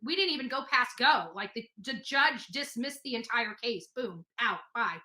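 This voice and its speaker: A woman's voice: a little high, animated, moderate pace, pushed and bright. A woman talking casually and bluntly about herself in an interview, repeating phrases for emphasis. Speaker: interview guest. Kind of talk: casual personal storytelling in an interview.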